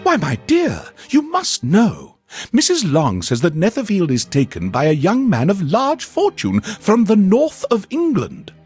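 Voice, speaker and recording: Casting: excitable middle-aged wife, husky falsetto, wildly swinging pitch, fluttery and exclamatory, for a storybook reading. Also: male voice, measured pace, faint music behind, exaggerated voice. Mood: gossipy, eager. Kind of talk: storybook reading in a game radio show.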